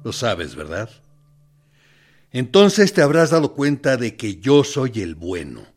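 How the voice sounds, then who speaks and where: Radio-play interrogator, mock-friendly, condescending, menacing, slow delivery, highly varied intonation. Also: male voice, big pitch swings, darkish tone, husky, soft background music, full character voice. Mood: insinuating. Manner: deliberate, mock-friendly, condescending, with theatrical pauses. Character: smooth, menacing male military interrogator playing good cop. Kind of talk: dramatic radio play monologue.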